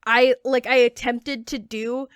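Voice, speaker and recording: A woman in her twenties chats on a podcast as a comedian guest, spinning stories with plenty of "like" fillers and quick jokes. Her voice sits a little high, smooth and clear. The sound is close and dry. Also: level tone, no room sound. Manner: chatty storyteller, many "like" fillers, quick jokes. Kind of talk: casual podcast chat.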